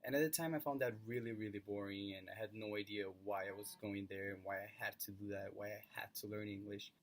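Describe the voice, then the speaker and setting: A man's voice: on the low side, fairly even intonation, fast, a little hiss or hum. Young Brazilian man telling his story. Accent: Brazilian Portuguese. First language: Portuguese. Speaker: student. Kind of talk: personal narrative presentation.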